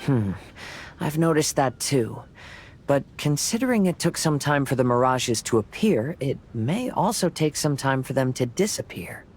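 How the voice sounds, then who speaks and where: A man voicing a calm, gentle young wandering samurai in a video game, soft-spoken and thoughtful. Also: mid-range voice, moderate pace, slight background noise, close to an everyday voice.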